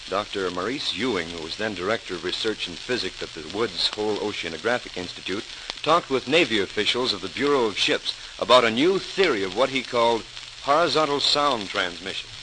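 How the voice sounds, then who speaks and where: A young Navy lieutenant played by a male actor, eager and earnest with his folks. The voice is fairly low, in vintage radio-drama style on a noisy, crackling old recording. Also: lively intonation, fairly quick, lightly acted. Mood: cheerful, earnest. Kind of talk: 1940s radio acting.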